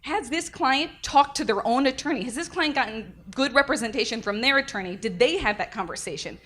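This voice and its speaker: A young adult woman, a defense attorney, giving an energetic conference lecture into a microphone. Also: high voice, a little fast. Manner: energetic, lively, self-described loud. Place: conference room. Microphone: handheld or podium mic.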